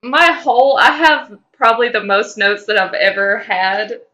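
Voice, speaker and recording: A woman's voice: a little high, steady tone, unhurried, pushed and bright. A woman co-hosting a podcast in casual fan chat, speaking in an emphatic, opinionated way. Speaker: podcast co-host.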